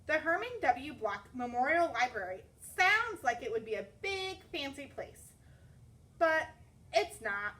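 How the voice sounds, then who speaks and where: A woman reading a children's story aloud as a teacher, expressive and deliberate. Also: high-pitched, far from the mic.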